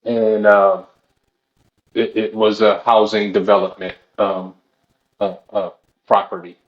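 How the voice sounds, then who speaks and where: A man's voice: fairly low pitch, slow pace, lo-fi sound. A man from New Orleans with a New Orleans accent, a podcast guest who talks hesitantly with many fillers. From New Orleans, Louisiana.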